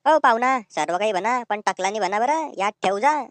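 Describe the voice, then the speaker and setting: A woman plays a cheeky young village lad in a comedy cartoon. He talks very quickly and suspiciously, with a rural Ahirani accent from north Maharashtra. The sound is close and dry. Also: fairly high pitch, animated, no echo, natural voice. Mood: joking.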